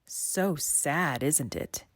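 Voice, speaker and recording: A slinky sea snake from a picture book, put on by a woman reader. It hisses in a low, breathy voice, stretching its words slowly as it coaxes and persuades. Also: very animated, no echo, clean studio sound, full character voice. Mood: sly, mock pitying. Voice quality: hissing, breathy. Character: sly, persuasive hissing female-read sea snake.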